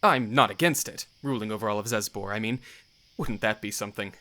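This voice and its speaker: A man's voice: lowish voice, fairly quick, sharp tone. A man playing a young crown prince in an audio drama, wry and bantering, playful and ambitious. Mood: pensive ambition. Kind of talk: audio drama character acting.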